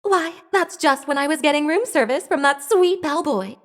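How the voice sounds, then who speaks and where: High-pitched cutesy, sly witness. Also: female voice, animated, moderate pace. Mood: coy. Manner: coy, sassy, girlish. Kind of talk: video game voice dub. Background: music.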